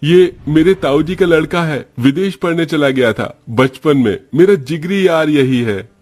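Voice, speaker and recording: A man's voice: on the high side, unhurried, a little mellow. A man voicing male characters in a family cartoon story, with an everyday manner. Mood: cheerful. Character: young male relative and friend, also family doctor.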